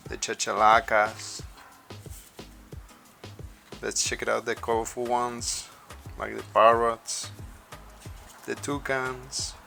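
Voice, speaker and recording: A man's voice: mid-range voice, gravelly, pushed and bright. A middle-aged man from Colombia, a native Spanish speaker with a Colombian accent, working as a birding guide and explaining things carefully and earnestly to camera. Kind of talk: informal review to camera.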